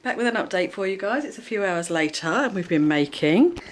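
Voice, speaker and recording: A woman's voice: mid-range voice, lively intonation, brisk, slight background noise. An English woman hosting a casual craft vlog, chatty and upbeat, with lots of fillers. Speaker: vlogger, craft shop owner. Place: craft studio and shops.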